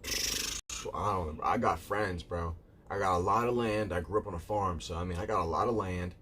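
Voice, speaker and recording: A man's voice: sits low, normal speed, noisy background. A man live streaming, chatting casually with viewers in a rambling way, repeating himself and calling them "bro".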